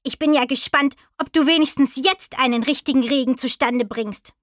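Woman voicing a grumpy, high-pitched, croaky raven. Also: normal speed, no echo, studio quality, lightly acted. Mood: reproachful. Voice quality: croaky, screechy. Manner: reproachful, sarcastic. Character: grumpy, scolding male talking raven, sarcastic. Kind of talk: children's storybook reading.

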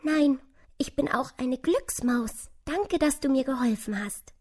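Woman voicing a tiny timid mouse, high and whispery. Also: animated, measured pace, darkish tone. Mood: shyly grateful. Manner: plaintive, timid. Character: small timid, frightened, shivering lost winter mouse. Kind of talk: children's storybook reading.

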